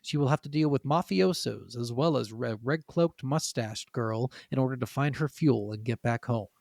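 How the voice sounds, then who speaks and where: Gravelly, sweary man chatting on a podcast. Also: medium pitch, moderate pace, darkish tone, no echo, studio quality. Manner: informal, heavily sweary. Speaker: podcast co-host. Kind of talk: casual gaming podcast chat.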